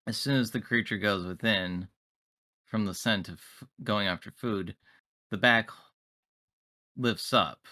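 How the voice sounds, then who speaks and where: A man playing a tabletop roleplaying game, improvising in character with formal, deliberate wording. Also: on the low side, pushed and bright, dry recording, studio quality.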